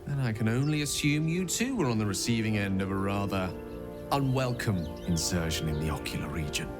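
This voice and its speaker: A man's voice: on the low side, lively intonation, brisk, music in the background, lightly acted. A man playing a genteel, erudite wizard in a video game, wordy, courteous and wryly witty in his phrasing. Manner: eloquent, flowery, playful phrasing. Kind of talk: theatrical video game dialogue acting.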